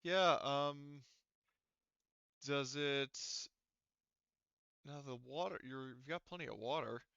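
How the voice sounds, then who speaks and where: A man's voice: medium pitch, slow pace, vocal fry, sharp tone, smooth, no room sound, muffled recording. A man acting as game master, explaining and narrating an online tabletop game in a casual, hesitant, rambling way.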